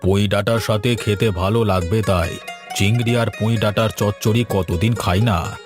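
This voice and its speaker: A man playing a low-voiced elderly village husband. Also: fairly even intonation, normal speed, music in the background, natural voice. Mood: coaxing. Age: older. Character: elderly village husband, thrifty, patient, placating grandfather. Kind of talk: cartoon voice acting.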